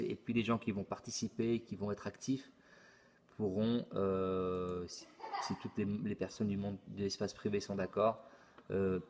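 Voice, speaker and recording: A middle-aged man with a low, monotone voice walks through a website in a casual explainer. He talks in a halting way, restarting phrases and filling pauses with hesitations. The delivery stays plain and unhurried. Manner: halting, many repeated words and "euh" fillers. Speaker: YouTube channel host. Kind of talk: casual screen-recorded forum walkthrough.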